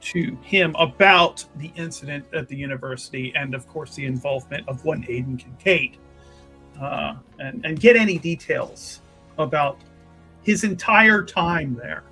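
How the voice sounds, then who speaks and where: A man chatting casually as a roleplaying player, describing what his character does in halting phrases. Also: a little high, pushed and bright, slightly rough, soft background music, rough recording. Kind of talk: casual game chat, describing a character's actions.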